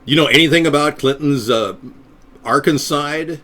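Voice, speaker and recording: A man's voice: on the high side, moderate pace, sharp tone. A middle-aged man from the United States rambling sardonically as he hosts his own live stream for viewers. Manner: rambling, sardonic, slips into silly voices. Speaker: third-party candidate hosting a live stream.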